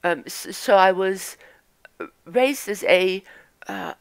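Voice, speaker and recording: A woman whose first language is Norwegian talks about her upbringing in a halting, reflective way. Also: medium pitch, creaky, dry recording. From Norwegian parents, born in the US, raised between the US and Norway. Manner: halting, many fillers and restarts, reflective. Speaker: vlogger. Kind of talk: casual personal monologue.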